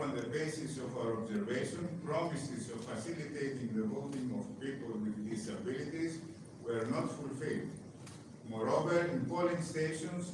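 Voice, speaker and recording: A Greek-accented man delivers a prepared statement in a steady, measured reading voice. He speaks from some distance from the mic in a very echoey hall. Clear background noise fills the room. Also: mid-range voice. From Greece. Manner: measured, reads carefully with occasional restarts. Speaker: head of parliamentary delegation. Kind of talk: formal prepared press statement.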